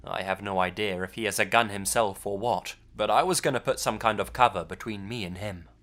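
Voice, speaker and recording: Brief: male horror narrator, lowish voice, calm measured storytelling.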